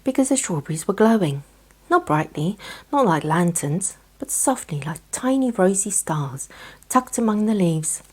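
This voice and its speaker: A woman narrating a children's bedtime story in a soft, breathy, gentle scripted style. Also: mid-range voice, very animated, moderate pace.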